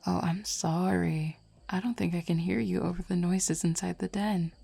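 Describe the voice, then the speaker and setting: A woman voicing a flirty, self-assured female werewolf in an intimate roleplay audio, teasing softly and without hurry. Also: on the low side, steady tone, normal speed, gravelly, breathy edge, full character voice. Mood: tender, teasing.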